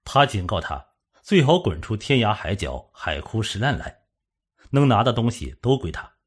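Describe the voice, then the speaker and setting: Husky low male audiobook narrator. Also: sing-song, normal speed. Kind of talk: scripted suspense novel narration.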